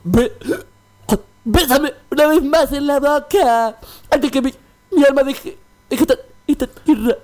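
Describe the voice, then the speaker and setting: A woman's voice: high-pitched, a little mellow. A woman playing a jealous, lovelorn police sergeant with florid speech in a comic radio melodrama. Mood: tragic, florid. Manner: florid, halting declamation.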